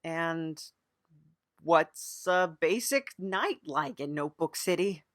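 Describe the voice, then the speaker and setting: Woman podcast interviewer, slightly low voice, hesitant, repetitive, mock-serious improvised delivery, dry close sound. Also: expressive, takes it slowly, dry recording. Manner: hesitant, repeats phrases, plays along earnestly.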